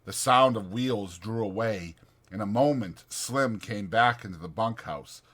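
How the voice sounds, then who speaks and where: A man's voice: lowish voice, unhurried, a bit bright. A man narrating a novel as a dramatized audiobook.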